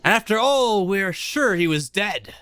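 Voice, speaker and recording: A man's voice: high voice, lively intonation, unhurried, bright, forceful tone, slight background noise, exaggerated voice. A man playing an eager, plainspoken police sidekick in an amateur radio play. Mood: impressed.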